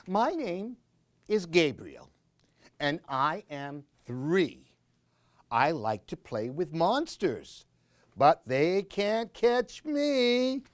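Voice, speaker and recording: A woman's voice: on the low side, very animated, very slow, no room sound, studio quality, pitched above the performer's own voice, full character voice. A woman reading aloud as a playful three-year-old boy, chanting bouncy rhymes. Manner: sing-song, bouncy rhymes. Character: playful little boy pretending, aged three.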